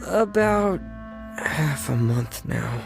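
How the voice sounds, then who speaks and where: A man voicing a quiet, earnest, loyal teenage younger brother in a video game, soft-spoken and hesitant, over music. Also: a little high, expressive, very slow, creaky, heavily acted. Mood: guarded. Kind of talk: English video game voice acting. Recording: music behind the voice.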